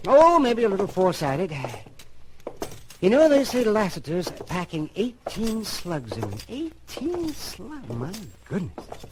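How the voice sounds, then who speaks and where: A man's voice: high-pitched, wildly swooping pitch, a little slow, heavily acted. A man playing a folksy, garrulous frontier doctor with a gleefully morbid streak in an old-time radio western. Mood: gleeful, chatty. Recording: noisy old radio drama recording.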